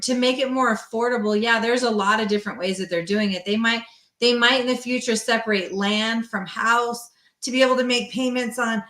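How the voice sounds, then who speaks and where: Female lending expert, slightly high voice, talkative and emphatic, casual explainer, echoey room sound. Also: fairly bright tone. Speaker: mortgage lender, guest expert.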